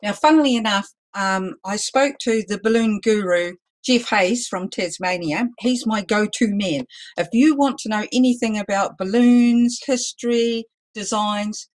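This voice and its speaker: Loud chatty New woman teaching. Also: mid-range voice, measured pace. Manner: loud, chatty, self-deprecating. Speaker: balloon artist teaching a workshop. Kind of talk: live online demonstration lesson.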